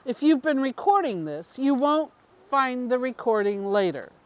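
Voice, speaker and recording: A woman voicing a secretive, persuasive male politician in an audiobook, with measured, rhetorical phrasing. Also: sits high, big pitch swings. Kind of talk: audiobook character dialogue.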